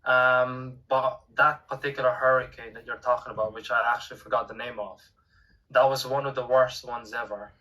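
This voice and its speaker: A young man whose accent mixes Cork and Filipino, talking as a podcast guest and often pausing with fillers and restarts. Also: medium pitch, bright, forceful tone, recorded from a distance, low-quality recording. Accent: mixed Cork and Filipino. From Ireland. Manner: hesitant, many fillers and restarts. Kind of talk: casual conversation.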